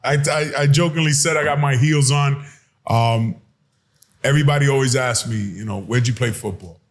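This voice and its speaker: A man's voice: mid-range voice, moderate pace. A man from New York hosting a podcast interview in an informal, streetwise, conversational way. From New York, United States. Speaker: podcast host.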